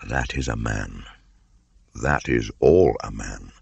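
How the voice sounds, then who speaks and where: Man voicing a very deep gravelly panther mentor. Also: lively intonation, slow pace, dry recording, full character voice. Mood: proud, musing. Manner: solemn, archaic storybook speech.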